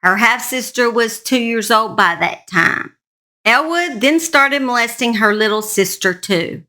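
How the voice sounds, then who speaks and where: A woman's voice: on the high side, expressive, unhurried, pushed and bright. A woman host narrating a true crime story in an informal, plain-spoken, conversational way, speaking straight to her listeners.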